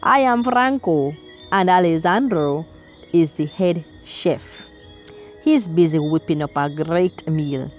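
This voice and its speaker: Woman voicing a jovial Italian restaurateur, put-on Italian accent, effusive, lowish voice, soft music under it. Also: takes it slowly. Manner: effusive, teasing. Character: jovial middle-aged Italian male restaurateur.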